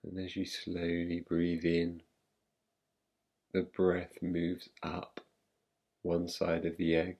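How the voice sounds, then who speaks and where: A man guiding a meditation in a calm, gentle, unhurried manner, leaving long pauses between phrases. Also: low voice, gravelly, muffled recording. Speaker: meditation guide. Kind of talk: guided meditation, yoga nidra.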